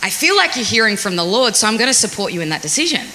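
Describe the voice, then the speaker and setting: A woman's voice: mid-range voice, very animated, quick pace, sharp tone. A woman in her forties with an Australian accent, chattily sharing her testimony in church. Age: 40s. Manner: chatty and self-deprecating. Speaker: guest speaker. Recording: hissy echoey church hall.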